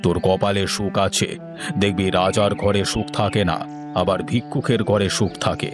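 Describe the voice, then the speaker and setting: Man playing a deep-voiced, stern holy sage, music behind. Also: fairly even intonation, normal speed, natural voice. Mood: reassuring, wise. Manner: commanding, archaic solemn address. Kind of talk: animated fairy-tale voice acting.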